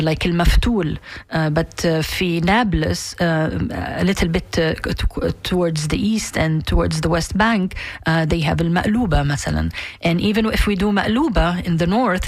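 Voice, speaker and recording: A woman's voice: on the low side, no echo. A Palestinian woman with an Arabic accent, hosting a radio interview and asking long, earnest questions. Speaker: radio host.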